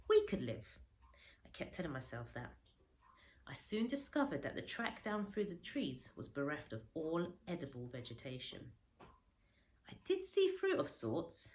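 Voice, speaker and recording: A woman reading a children's story aloud like a teacher, dramatically, with pauses and stretched words for emphasis. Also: low voice. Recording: echoey room, faint background noise.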